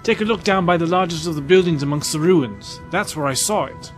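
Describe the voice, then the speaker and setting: A man's voice: fairly high pitch, a little fast, soft background music, natural voice. A man playing a wary, insistent spaceship crewman in a sci-fi audio drama.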